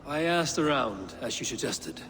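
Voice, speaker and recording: Man playing a measured, resolute Viking leader. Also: mid-range voice, expressive, a bit bright, lightly acted. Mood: grim. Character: steady, pragmatic Viking clan leader. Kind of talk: dramatic video game acting. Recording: reverberant hall, faint noise.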